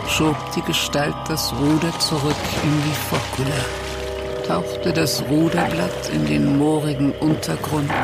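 Male drama narrator: gravelly, unhurried storytelling with loud music behind. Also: on the high side. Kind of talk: scripted audio drama narration.

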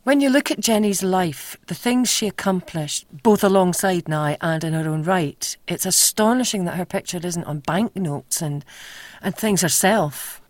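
A woman offers scripted commentary for a documentary. Her voice sits a little low, recorded dry and close. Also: animated, normal speed, no echo. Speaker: actress commenting as documentary contributor.

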